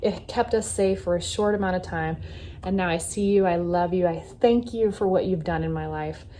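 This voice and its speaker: A woman's voice: lowish voice, moderate pace, background noise. A young adult woman vlogger talking casually to camera. She reflects on her life and speaks in earnest self-affirmations.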